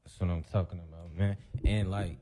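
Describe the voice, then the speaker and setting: A man's voice: deep voice, steady tone, fairly quick, mellow. A man hosting a casual radio interview, laid back and slangy as he welcomes his guests. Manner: laid-back slangy talk, "you feel me". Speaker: radio show host.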